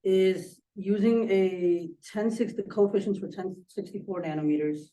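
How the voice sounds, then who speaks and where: A man presenting technical work as a student, in an informal, halting, conversational way. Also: high voice, dark tone. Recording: distant microphone pickup.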